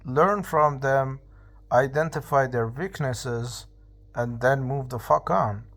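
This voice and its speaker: A young man in his twenties vents in a casual vlog monologue. He talks slowly and swears freely, stopping and restarting his thoughts. His pitch sits in a middle range. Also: fairly bright tone. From Iran. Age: 20s. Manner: profane, ranting, blunt; halting, many fillers and restarts. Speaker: vlogger.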